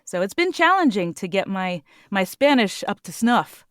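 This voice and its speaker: A woman voice actor answering interview questions in an earnest, animated way, laughing now and then. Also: medium pitch, normal speed, no room sound, studio quality. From United States. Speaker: voice actor guest.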